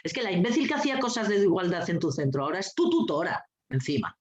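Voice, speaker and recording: A woman giving a lively, anecdotal talk as a guest speaker, blunt and sarcastic, swearing freely and laughing often. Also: lowish voice, fast talker. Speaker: guest speaker, teacher trainer. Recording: dull lo-fi recording.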